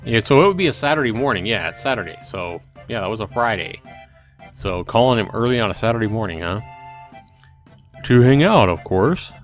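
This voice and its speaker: A man's voice: on the low side, expressive, quick pace, fairly bright tone, faint music behind. A man hosting a let's play, reading a visual novel aloud and adding informal reactions in a casual way.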